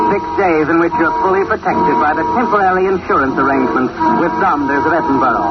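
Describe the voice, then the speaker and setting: An actor playing an ominous, all-knowing narrator, taunting and dramatic in a 1940s radio mystery style. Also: male voice, fairly high pitch, close to an everyday voice. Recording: dull vintage radio recording with music.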